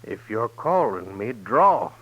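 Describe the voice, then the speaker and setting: A menacing gunfighter with a lowish voice. He is cocky and taunting, talking in rustic western speech, in the style of vintage radio drama. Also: sing-song, slow, breathy edge, muffled recording, heavily acted. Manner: taunting, rustic drawling grammar. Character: cocky, menacing Old West gunfighter spoiling for a fight.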